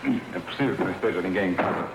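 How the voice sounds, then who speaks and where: Ator fazendo um homem desconfiado e teatral, gravação ruidosa. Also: male voice, deep voice, very fast, creaky. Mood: impatient, wondering. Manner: theatrical, muttering to himself, with sudden calls. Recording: echoey, very noisy old archive recording.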